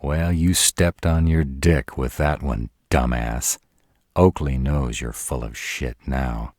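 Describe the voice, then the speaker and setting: Man voicing a slow, very deep protective were-dragon lover. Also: steady tone, a little mellow, no room sound, clean studio sound, exaggerated voice. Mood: scornful. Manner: slow, crude-tongued, tender with partner. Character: protective, devoted male were-dragon lover, brash and fierce. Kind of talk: roleplay audio drama acting.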